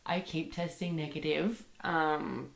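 A woman's voice: deep voice, takes it slowly, vocal fry. Sick young woman podcasting. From Australia. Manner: chatty, rambling, lots of fillers like "like" and "um". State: sick with a bad cold. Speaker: podcast host. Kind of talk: casual solo podcast monologue. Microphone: computer microphone. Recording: echoey room.

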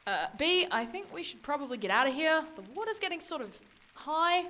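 An actress playing an irreverent, skeptical and wary young woman adventurer in an audio drama, talking in a casual, sardonic, expressive way. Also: sits high, fairly quick, full character voice. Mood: urgent.

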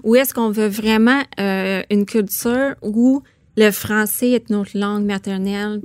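A woman from Saskatchewan speaks French with an English Canadian accent, pausing often to search for words. Her voice sits at an ordinary middle pitch. It is recorded dry and close in a studio. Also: steady tone, clean tone, no echo. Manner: thoughtful, hesitant with many fillers. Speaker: author and parliamentary correspondent, guest. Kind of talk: radio interview.

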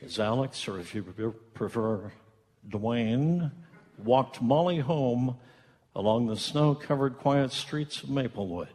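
Male narrator, mid-range voice, measured and tongue-in-cheek with theatrical pauses, for live radio theatre. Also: mid-range voice, darkish tone. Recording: reverberant hall, live stage.

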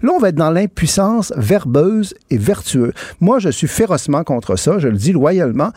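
A man's voice: a little high, big pitch swings, normal speed, a little mellow, husky, no room sound. A man with a Quebec French accent arguing emphatically as a political commentator in a radio debate, often restarting his sentences. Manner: emphatic, argumentative, many restarts.

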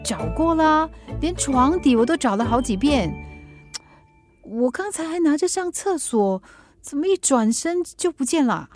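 A woman's voice: high voice, lively intonation, a little slow, faint music behind. An actress with a Singaporean accent playing a middle-aged, teasing and mildly exasperated mother in a radio drama, with chiding, playful banter. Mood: fretful. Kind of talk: Mandarin radio drama acting.

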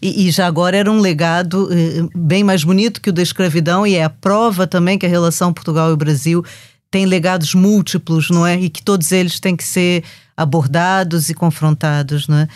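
A Brazilian-born woman journalist hosting a podcast interview, reflective and warm, often restarting her phrases. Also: lowish voice, steady tone, brisk, no room sound, studio quality.

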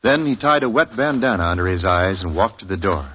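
A man's voice: sits low, lively intonation, brisk, a little hiss or hum. A man announcing and narrating in formal 1950s radio style on a lo-fi recording with music. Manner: formal, declaiming.

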